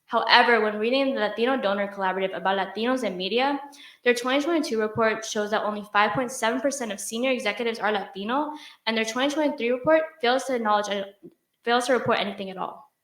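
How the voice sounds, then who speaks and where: A woman's voice: on the high side, quick pace, fairly bright tone, echoey room. A young woman giving a prepared, earnest speech to an audience, with occasional restarts. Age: young adult. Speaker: student presenter.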